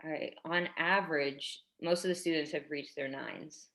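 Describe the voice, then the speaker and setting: A woman's voice: sits low, fairly even intonation, moderate pace, a bit bright. A woman playing an earnest, tactful schoolteacher in her twenties in a staged drama reading, speaking hesitantly and delicately. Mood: awkward, delicate.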